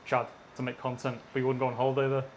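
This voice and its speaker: A man's voice: mid-range voice, monotone, racing, dull audio. A man interviewing a guest, steadily posing and framing questions.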